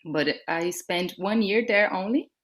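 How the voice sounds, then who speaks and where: A woman from Brazil with a Brazilian accent and Portuguese as her first language, speaking reflectively in an interview and often searching for words. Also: mid-range voice. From Brazil, born in Salvador and raised in São Paulo. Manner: halting, searching for words with repetitions. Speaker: dance artist being interviewed. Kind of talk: reflective conversational interview about own life.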